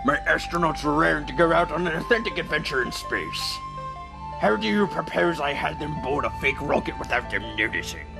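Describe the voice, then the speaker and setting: A man's voice: on the high side, expressive, brisk, creaky. A man voicing a pompous, dramatic old space-center director in a video game fandub, with theatrical military bluster and a stammer. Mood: defensive, blustering. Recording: amateur home recording under game music.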